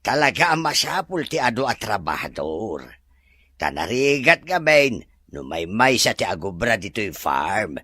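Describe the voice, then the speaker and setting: A man acts as a plain-spoken resort worker who grumbles but stays loyal. His mid-pitched voice moves up and down a lot, forceful and dry, in radio drama style. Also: very animated, a little slow, husky, dry recording, full character voice. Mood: explaining. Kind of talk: Ilocano audio drama acting.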